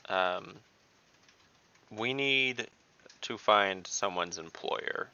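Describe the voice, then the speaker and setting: A man playing in an online tabletop game, speaking deliberately and thinking his plans through out loud. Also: lowish voice, lo-fi sound. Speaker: player.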